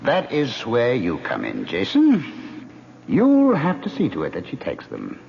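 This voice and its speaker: An actor playing a smug male ghost in a radio drama, suave, mocking and insistent as he calmly schemes. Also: mid-range voice, unhurried, gravelly, heavily acted. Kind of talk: 1970s radio drama acting. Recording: muffled vintage radio recording, hissy.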